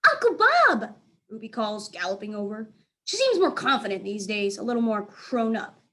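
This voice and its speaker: A woman reading a children's book aloud as a teacher, in an expressive storytelling style. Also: medium pitch, normal speed.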